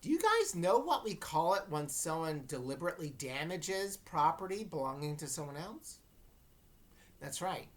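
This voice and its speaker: A woman's voice: sits low, big pitch swings, normal speed. A woman teacher reading a novel aloud to a class, explaining as she goes and checking understanding with questions. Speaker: English teacher. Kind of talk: dramatic classroom read-aloud with questions to students.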